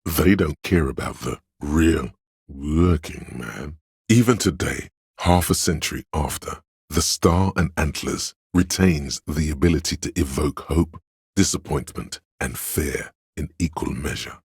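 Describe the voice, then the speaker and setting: Game role: erudite inner voice of pure knowledge, extremely low and husky, measured, pedantic narration. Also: male voice, a little mellow, dry recording, clean studio sound, heavily acted. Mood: grave. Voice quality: very deep, gravelly, husky.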